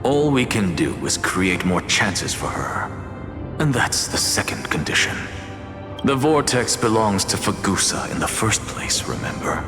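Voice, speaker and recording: An actor playing a proud, theatrical demigod scholar of reason in a video game, speaking in a measured, self-assured way. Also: male voice, on the low side, sing-song. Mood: coolly resolute. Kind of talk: dramatic game voice acting. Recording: echoey hall reverb with loud music. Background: loud music.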